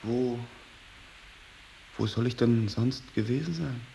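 An actor playing a sullen, evasive young adult son in a radio drama, defensive and drawling. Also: male voice, medium pitch, takes it slowly, vocal fry, mellow. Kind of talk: 1980s German radio drama acting. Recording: faint tape hiss.

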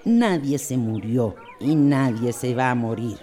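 An actress playing a fussing, caring, grumbling companion in a radio soap opera, chiding and exclamatory with a Costa Rican accent. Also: female voice, rumbling low, animated, measured pace, warm tone.

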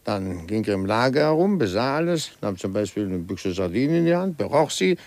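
An older male actor playing a methodical retired police inspector in a 1950s German radio drama, gravelly and emphatic, with dry amusement. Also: lowish voice, very animated, a little fast, a little mellow, no room sound. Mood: dryly amused.

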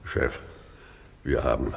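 An actor playing a slow, sheepish gangster henchman in a radio comedy, very deep and gravelly, hedging haltingly. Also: in a large hall, close to an everyday voice. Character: slow, sheepish male gangster henchman reporting to his boss. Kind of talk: 1950s German radio comedy acting.

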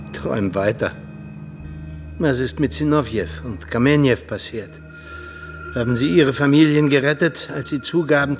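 An actor playing an embittered, world-weary older Soviet ex-agent and mentor in a radio drama, speaking emphatically. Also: male voice, mid-range voice, lightly acted. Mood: weary, defiant. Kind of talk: radio drama acting, monologue-like confession.

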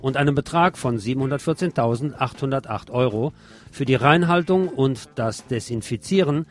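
A man presenting scripted radio news commentary as host, wry and deliberate, with emphatic phrasing. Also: mid-range voice.

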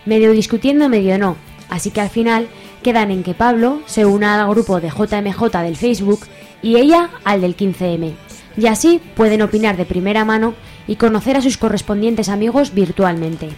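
A woman's voice: medium pitch, expressive, moderate pace, a little mellow, soft background music. A woman with a Spanish accent, reading scripted narration for a radio soap opera.

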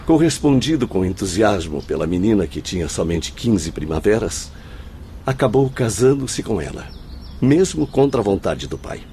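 An actor playing an earnest, well-informed spirit who recounts tragedy in formal, eloquent, emphatic phrases in a radio drama. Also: male voice, fairly low pitch, very animated, moderate pace, mellow, a little hiss or hum. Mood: grave, compassionate.

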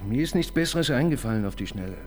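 An actor in a radio crime drama playing an awkward police assistant who stammers and sounds embarrassed. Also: male voice, mid-range voice, lively intonation, quick pace, a little hiss or hum, close to an everyday voice. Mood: sheepish. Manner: stammering, sheepish.

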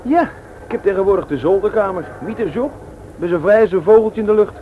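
A man's voice: high-pitched, quick pace, narrow, tinny audio, a little hiss or hum, close to an everyday voice. An actor in a radio play playing a carefree young man, with a boyish, colloquial way of talking. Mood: cheerful. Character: carefree youth sneaking a friend home.

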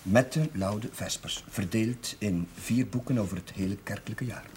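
A male actor plays a devout, reserved clergyman in a television drama. He speaks in a fairly low, measured, instructive voice over faint noise. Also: a little mellow, natural voice. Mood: calm, explanatory.

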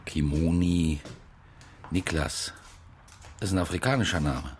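A deep-voiced blunt detective. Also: male voice, a little slow, a little hiss or hum. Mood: probing. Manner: blunt, matter-of-fact questioning. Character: blunt male police detective. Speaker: police detective. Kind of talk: radio crime drama acting.

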